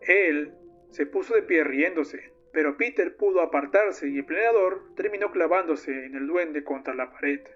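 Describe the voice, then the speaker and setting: A solemn young man, acted by a male narrator recounts his friend's monstrous downfall as scripted horror diary entries. His voice sits slightly high, grieving and vengeful, in a muffled lo-fi recording with music behind it. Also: a little slow. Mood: somber, tense. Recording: muffled lo-fi audio under loud music.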